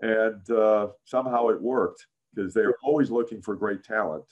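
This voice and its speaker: A man in his sixties with a deep voice, a guest in a casual interview, telling career stories with many "frankly"s and "uh"s. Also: moderate pace, phone-quality sound. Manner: frequent "frankly" and "uh", animated anecdotes. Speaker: interview guest, business founder.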